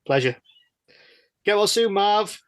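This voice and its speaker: A man's voice: high voice, lively intonation, slow pace, a bit bright. A man hosting a casual podcast interview, chatting in a rambling, jokey and self-deprecating way. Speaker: guest host and interviewer of a podcast.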